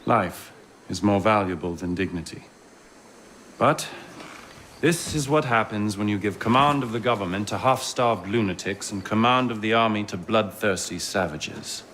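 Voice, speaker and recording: Man playing a dry, low-voiced military officer. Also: moderate pace, fairly bright tone, slight background noise, natural voice. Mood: cynical, bitter. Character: wry, cynical French army officer. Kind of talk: video game cinematic acting. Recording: background music under the voice.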